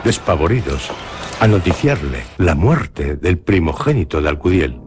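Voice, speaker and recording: A man with a Spanish accent from Spain, giving a slow, solemn dramatic reading of a horror legend for radio. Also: sits low, very animated, noisy background. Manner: slow, measured, solemn storytelling. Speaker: narrator. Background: music.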